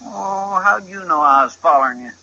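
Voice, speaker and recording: An excitable, worn-out deputy in a radio western. The voice is creaky and very sharp, with a rural Western American accent. It comes through a dull vintage broadcast. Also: male voice, sits high, normal speed, full character voice. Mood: indignant. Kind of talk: old-time radio western acting.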